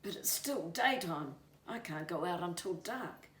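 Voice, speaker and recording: A woman's voice: on the low side, animated, measured pace, a bit bright. Storybook baby parrot, played by a woman: drowsy, mumbling, unwilling, echoey room. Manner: sleepy, mumbling. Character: sleepy mumbling little kakapo parrot, reluctant to play. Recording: roomy, distant mic, faint noise.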